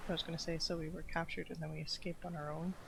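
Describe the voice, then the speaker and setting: Woman game streamer, flat intonation, lowish voice, casual commentary and reading aloud, loud waves behind. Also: quick pace, gravelly, very noisy. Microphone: microphone at a streaming setup. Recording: loud game ambience over voice.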